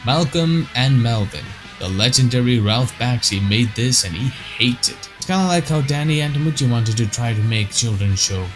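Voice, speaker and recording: A man's voice: mid-range voice, expressive, moderate pace, few pauses, loud music behind the voice. A young man whose first language is Icelandic, reading scripted commentary in a blunt, opinionated way with casual swearing. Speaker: cartoon retrospective host.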